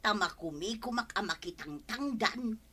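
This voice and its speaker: An actress with an Ilocano accent playing an excitable, money-proud, nagging village wife in a radio comedy drama. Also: female voice, medium pitch, normal speed, slightly rough. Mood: boastful.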